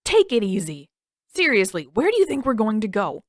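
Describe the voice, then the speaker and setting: A woman's voice: medium pitch, huge pitch swings, moderate pace, dry recording, clean studio sound. A woman voicing a sarcastic, dramatic teenage boy who complains a lot in a fan fiction audiobook reading. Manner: sarcastic, whiny.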